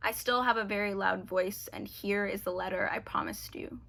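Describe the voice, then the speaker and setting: A woman performing her spoken word poem in a deliberate, rhythmic delivery over a video call from home. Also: mid-range voice, steady tone, vocal fry, bright, forceful tone. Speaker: poet.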